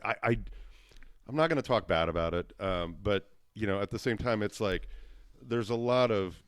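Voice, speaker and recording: A man with a loud voice, a podcast guest talking casually and rambling, with lots of "you know" fillers. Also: lowish voice, moderate pace, clear, resonant tone, no echo, studio quality. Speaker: podcast guest, web business owner. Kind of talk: casual conversation.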